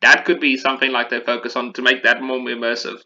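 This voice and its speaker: A man's voice: medium pitch, flat delivery, fast, sharp tone, dull audio. A man chatting casually as a podcast host, rambling and correcting himself as he talks. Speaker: podcast host discussing video games. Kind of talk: casual chat.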